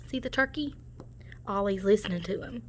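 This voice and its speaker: A woman reading a children's story aloud, pausing to explain things to young listeners. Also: medium pitch, a little hiss or hum. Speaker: storybook reader. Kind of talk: children's read-aloud narration.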